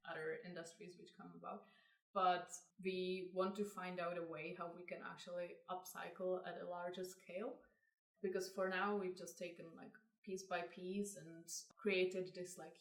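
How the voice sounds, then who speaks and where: A young woman with a Czech accent, a designer giving measured interview answers peppered with "like". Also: lowish voice, fairly bright tone. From Czech Republic. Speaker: designer, co-founder of a clothing brand. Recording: echoey room, mic at a distance.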